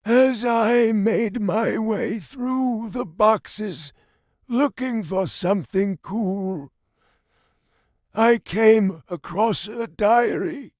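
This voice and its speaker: Slow, creepy-voiced male story narrator. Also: high-pitched, a little mellow, no echo, studio quality. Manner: slow, drawn-out creepy reading. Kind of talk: reading a viewer's horror story aloud.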